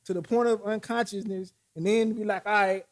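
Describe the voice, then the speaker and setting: High-voiced man in his forties, animated. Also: fairly quick. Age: 40s. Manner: animated, stumbling restarts, argues by example. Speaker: podcast host. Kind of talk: casual chat.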